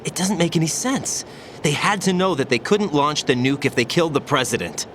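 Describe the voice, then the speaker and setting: A man's voice: on the high side, animated, fairly quick, a bit bright, a little hiss or hum. A man playing an earnest, uneasy young special operative in a dramatic video game, always questioning. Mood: suspicious, uneasy.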